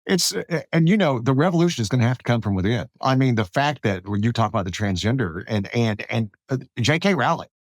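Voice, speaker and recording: A man's voice: mid-range voice, expressive, fast, no room sound, clean studio sound. A man hosting a conversational interview podcast in an informal, folksy way. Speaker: podcast host.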